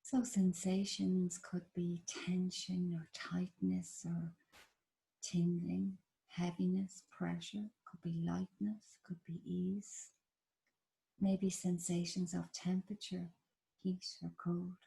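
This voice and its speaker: A woman with an Irish accent leading a guided body scan meditation in a slow, soft, soothing manner. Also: fairly low pitch, flat delivery, creaky, low-quality recording. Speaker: meditation teacher.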